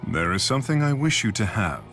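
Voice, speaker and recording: An actor playing a stoic, proud exiled king in a video game, speaking in a formal, measured, deadpan manner. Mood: grave. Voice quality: deep. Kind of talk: scripted game dialogue. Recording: game music behind the voice.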